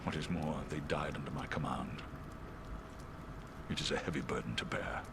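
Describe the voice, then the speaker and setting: A man playing a wounded, proud, grieving commander in a fantasy game, speaking formally and wearily in a breathy voice. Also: very deep voice, very noisy. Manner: formal, weary, halting. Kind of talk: fantasy video game cutscene acting. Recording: loud music behind the voice.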